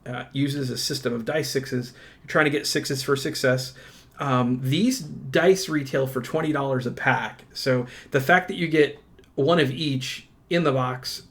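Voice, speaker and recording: A man presenting a channel with casual unboxing commentary, informal and enthusiastic, often halting as he goes. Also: mid-range voice, vocal fry.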